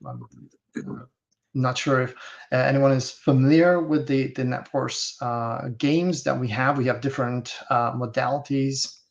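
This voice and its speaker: A man from Hungary, a guest instructor speaking haltingly with many fillers. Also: mid-range voice, steady tone, gravelly. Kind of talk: casual webinar talk. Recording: dull lo-fi video call audio.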